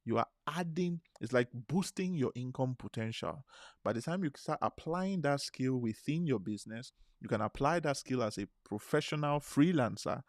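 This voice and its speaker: A man runs a live webinar, pressing his listeners hard and repeating phrases to drive them to act. His voice sits at an ordinary middle pitch. He is recorded close on a dry mic with no room sound. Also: lively intonation, moderate pace, no room sound. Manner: urgent, persuasive, repeats phrases. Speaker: webinar host.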